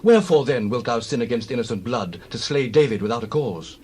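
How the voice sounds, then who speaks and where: A man's voice: medium pitch, animated, brisk, a little mellow, slight background noise, lightly acted. Man acting an earnest, pleading young prince. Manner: earnest, pleading, archaic delivery. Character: loyal, earnest prince pleading for his friend. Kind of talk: dramatized Bible reading.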